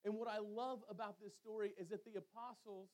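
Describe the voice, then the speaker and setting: Male preacher, high-set voice, sermon delivery that leans on repetition and weighted pauses for emphasis. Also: level tone, a little mellow. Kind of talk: sermon with scripture reading and storytelling.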